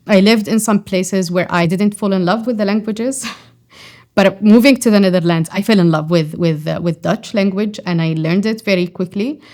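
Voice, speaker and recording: A woman's voice: medium pitch, a little mellow. A woman in her forties from Yemen, speaking English as a second language with an Arabic accent, answering interview questions thoughtfully with frequent fillers and restarts. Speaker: poet and scholar.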